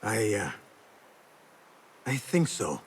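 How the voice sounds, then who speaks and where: A man's voice: lowish voice, big pitch swings, very slow, slight background noise, exaggerated voice. A man playing an earnest young assassin apprentice in a video game, respectful and a little hesitant. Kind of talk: cinematic game acting.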